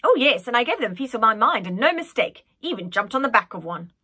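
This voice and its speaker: A woman's voice: on the high side, sing-song, fast talker, bright, forceful tone, slightly rough, exaggerated voice. A woman voicing a plump, cheery old nanny in her eighties who fusses and scolds fondly with emphasis. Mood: indignant, plucky. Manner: fussing, emphatic, scolding affectionately.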